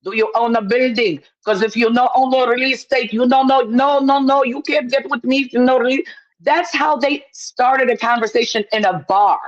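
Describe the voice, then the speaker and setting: A woman's voice: on the high side, moderate pace, highs cut off. A woman from Poland, chatting casually as an opinionated call-in guest, emphatic and repeating herself to make a point.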